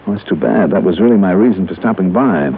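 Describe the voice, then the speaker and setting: An American actor playing a brisk, self-assured male insurance investigator in a mid-century radio drama. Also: sits low, a little hiss or hum. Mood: flirtatious. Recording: tinny old radio broadcast, music.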